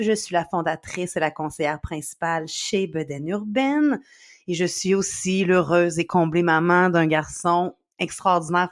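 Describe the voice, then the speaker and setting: A middle-aged woman from Quebec with a Québécois accent, a sleep consultant speaking earnestly in an interview, with many fillers. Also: lowish voice. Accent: Québécois French. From Quebec, Canada. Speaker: sleep consultant and company founder. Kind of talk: podcast interview.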